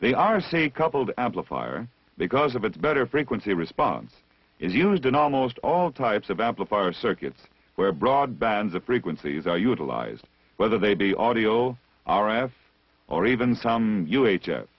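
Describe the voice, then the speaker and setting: A man reading scripted technical instruction as the narrator of a vintage military training film, measured and deliberate, on a dull old film soundtrack. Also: on the low side, big pitch swings, husky, no room sound. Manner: measured, didactic, deliberate enunciation.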